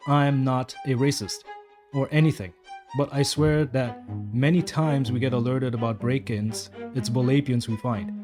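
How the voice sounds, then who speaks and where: A man's voice: medium pitch, fairly even intonation, normal speed, music in the background, lightly acted. A man playing a blunt, defensive, fed-up security guard rambling through a confession in an audio story monologue. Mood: exasperated.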